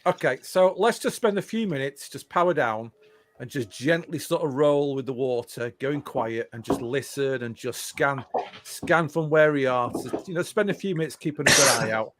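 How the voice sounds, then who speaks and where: A man playing a tabletop game, talking casually and deliberately as he plans aloud, often repeating phrases. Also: mid-range voice, a bit bright. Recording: clear background noise, clicks.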